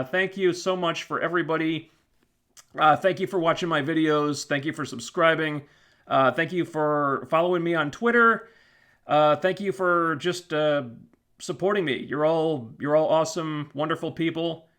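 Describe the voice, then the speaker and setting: Guy streaming, voice going, kinda high, lots of uh and restarts. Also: fairly bright tone. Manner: rambling with many fillers and restarts. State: losing his voice. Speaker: YouTube channel host. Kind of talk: casual live stream wrap-up.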